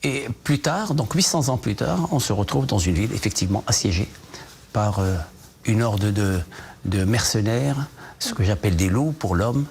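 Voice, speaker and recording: A man from Morocco talks as a guest on a television news program, his voice sitting low. He speaks hesitantly, full of fillers and restarts, with a faint studio hum in the background. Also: expressive, moderate pace, gravelly, a little mellow. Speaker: novelist guest. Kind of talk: TV news interview.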